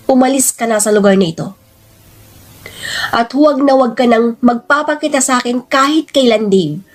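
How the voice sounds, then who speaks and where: A woman voicing a married mother in a story drama, firm and earnest as she pleads emphatically. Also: fairly high pitch. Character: married mother, firmly pleading with old lover to leave.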